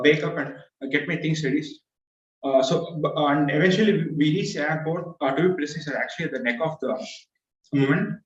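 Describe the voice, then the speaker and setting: Hesitant young man on an echoey call. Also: fairly high pitch. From India. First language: Tamil. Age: young adult. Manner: hesitant, frequent uh fillers and restarts. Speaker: club member giving first speech. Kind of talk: prepared speech with many fillers. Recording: far-off echoey dull video call audio.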